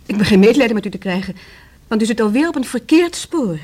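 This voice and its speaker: A woman's voice: a little high, expressive, fast, warm tone. An actress in a Dutch radio play as a proud, defiant woman being questioned by police, speaking formally with a sardonic edge. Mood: defiant, irritated.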